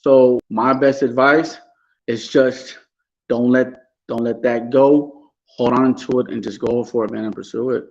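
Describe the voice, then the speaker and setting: A man's voice: mid-range voice, fairly even intonation, unhurried, a little mellow, dull audio. A middle-aged man, a rapper guest on a podcast, answering politely and often saying yes, sir. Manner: polite, short affirming replies. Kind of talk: casual interview answers.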